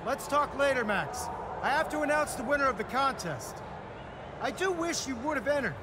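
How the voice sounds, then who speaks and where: A man playing a suave, encouraging art teacher in a video game, polished but with small hesitant pauses. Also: extremely high pitch, animated. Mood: casual, probing. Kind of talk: video game cutscene dialogue and stage announcement. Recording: echoey hall, crowd and noise behind.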